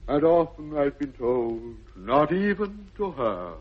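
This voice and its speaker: English country vicar, pompous, gentle, hesitant, animated intonation, slightly husky, 1960s radio comedy. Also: male voice, a little high, takes it slowly, heavily acted. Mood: mildly coy. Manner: hesitant, sermonising, ornate. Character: pompous, gentle, fussy country clergyman, middle-aged bachelor. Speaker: actor in a radio play. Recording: dull lo-fi vintage radio recording.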